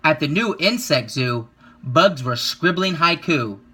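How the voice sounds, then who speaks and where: A man reading a children's picture book aloud, with expressive, rhythmic delivery and drawn-out emphasis. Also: fairly high pitch, breathy edge. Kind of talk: children's story read-aloud.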